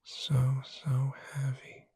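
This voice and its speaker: A woman playing a gentle witch bookseller in a breathy, soothing, whispered ASMR sleep roleplay. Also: rumbling low, completely flat delivery, no echo, heavily acted. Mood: calm, soothing. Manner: slow, soothing, deliberate. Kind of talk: soft-spoken ASMR sleep roleplay, guided relaxation.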